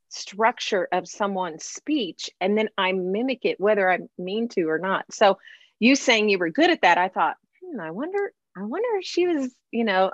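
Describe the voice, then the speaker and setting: A woman who is a classically trained singer, hosting an interview in animated, chatty conversation with frequent laughs. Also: medium pitch, no room sound, muffled recording. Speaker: podcast host, professional classical singer. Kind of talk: casual interview chat.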